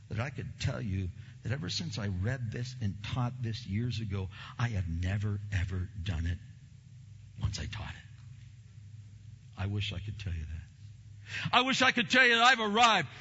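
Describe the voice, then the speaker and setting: A pastor preaching a sermon in a church, a man with a big voice who swings from low, slow stresses to loud, emphatic bursts. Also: big pitch swings, in a large hall, muffled recording, a little hiss or hum.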